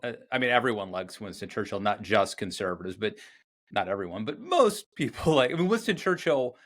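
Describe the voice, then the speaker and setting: A man's voice: on the low side, animated, fairly quick, fairly bright tone. An American man hosting a podcast, chatty and jumping in with questions. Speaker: podcast host. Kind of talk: casual conversation.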